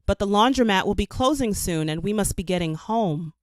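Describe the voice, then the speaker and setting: A caring, practical mom from a children's storybook , as a woman narrator reads her. She instructs gently and clearly means to look after her child. The delivery is melodic, with a dry, close sound. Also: medium pitch, lively intonation, brisk, dry recording, lightly acted. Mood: consoling. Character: caring, practical mother of a little girl.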